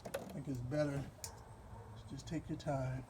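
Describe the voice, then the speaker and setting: A man from Wisconsin with a Midwestern accent, explaining a car repair step by step in a relaxed, rambling way while he works. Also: mid-range voice, heavy background noise. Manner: relaxed, rambling, with fillers and pauses while working. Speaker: DIY car repair host. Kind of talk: hands-on repair walkthrough.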